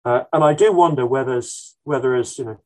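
A man's voice: medium pitch, a little fast. A man answering interview questions as a historian, thoughtful and hesitant, with frequent ums and restarts. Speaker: military historian guest.

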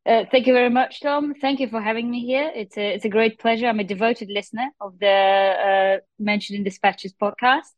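A woman's voice: on the high side, fairly even intonation, brisk, hardly any pauses, no echo, dull audio. A young adult woman from Russia with a Russian accent, explaining her research as an interview guest. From Moscow, Russia.

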